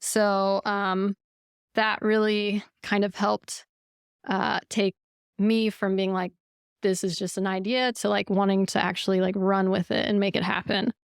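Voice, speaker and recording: A woman's voice: medium pitch, flat delivery, unhurried, clean tone, no room sound, studio quality. A woman hosting a podcast interview, chatty and casual, with heavy use of "like" and "um". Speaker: podcast host.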